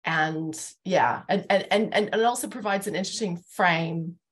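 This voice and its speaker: A woman's voice: on the low side, moderate pace. A middle-aged woman hosting a thoughtful discussion over a video call, earnest and articulate as she searches for the right phrasing. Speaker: host, interviewer. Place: living room at home, indoors, on a video call. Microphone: wired earphone headset on a video call.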